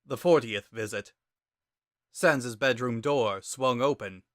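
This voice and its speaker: A man giving a measured, dramatic narration of a scripted story. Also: medium pitch, a bit bright, no room sound, clean studio sound.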